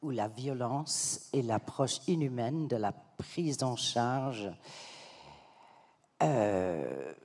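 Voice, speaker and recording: A middle-aged woman with an American accent, speaking French on a conference panel as an association advocate, earnest and measured with some hesitations. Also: rumbling low, warm tone. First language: English. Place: large conference hall. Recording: long hall reverb.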